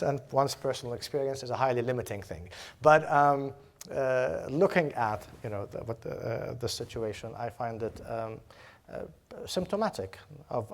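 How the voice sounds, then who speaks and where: Man from Jordan, lecturer, hesitant, lots of ums and restarts, mid-pitched voice. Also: vocal fry. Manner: hesitant, many fillers and restarts. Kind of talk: academic Q&A answer. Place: lecture hall.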